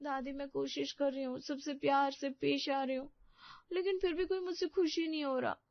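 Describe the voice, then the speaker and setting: A woman's voice: high-pitched, steady tone, normal speed, no room sound, muffled recording, pitched above the performer's own voice. A woman voicing an anxious, eager-to-please young daughter-in-law in a story, earnest and plaintive. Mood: dejected.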